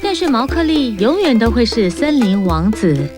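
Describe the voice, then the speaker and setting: A woman's voice: fairly high pitch, sing-song, normal speed, music in the background. A woman reading scripted children's storybook narration as the narrator.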